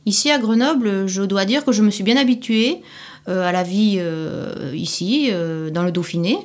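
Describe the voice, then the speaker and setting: Woman with a southern French accent, middle pitch, measured interviewee. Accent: southern French (Midi). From Corsica. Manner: measured, thoughtful, explaining at length. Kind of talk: unscripted interview answers for a language course.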